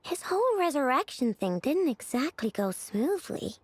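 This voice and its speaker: A brash young fighter from an anime game. She is blunt and casual, with a high, lively voice that moves around a lot. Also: normal speed, no echo.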